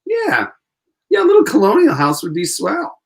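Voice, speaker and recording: A man plays a young lawyer in an old-time radio drama. He is wry and a bit lovelorn, with an animated delivery that moves up and down a lot. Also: sits high, normal speed, gravelly, exaggerated voice. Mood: casual, wistful. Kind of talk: 1940s radio acting.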